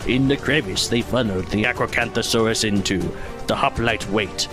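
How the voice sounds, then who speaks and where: A man's voice: on the low side, normal speed, loud music behind the voice. A man narrating an overly dramatic audiodrama in a solemn, theatrical, mock-epic style. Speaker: podcast host narrating an audiodrama.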